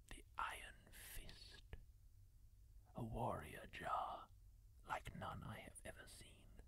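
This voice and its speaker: A man voicing a kindly masked healer-warrior, telling tales in a slow, breathy ASMR whisper close to the mic. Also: low voice, fairly bright tone, heavily acted. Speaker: ASMR roleplayer. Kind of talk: whispered ASMR roleplay monologue.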